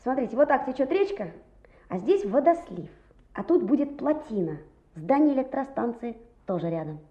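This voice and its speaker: High-voiced, eager, stubborn schoolboy. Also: female voice, sing-song, warm tone. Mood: earnest, indignant. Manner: brisk, boyishly assertive. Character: eager, stubborn schoolboy, confident young builder. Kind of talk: Soviet radio play acting. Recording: muffled, roomy old radio play recording.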